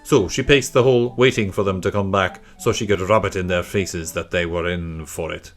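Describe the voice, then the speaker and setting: Guy reading a kids audiobook, fairly low voice, soft music in the background. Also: steady tone, normal speed. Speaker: narrator. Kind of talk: scripted children's story narration. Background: faint music.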